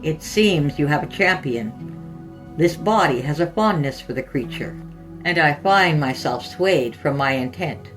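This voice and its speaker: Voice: medium pitch, expressive, measured pace, recorded from a distance, music in the background, natural voice, poor audio. A narrator voicing a menacing ancient spirit in a boy's body, speaking in clipped, archaic, imperious phrases. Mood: cold, commanding.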